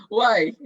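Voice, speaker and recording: A man's voice: very high-pitched, pushed and bright, slightly rough. A male teacher leading an online class discussion, encouraging students and asking questions with many fillers. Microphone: video call. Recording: dull lo-fi video call audio.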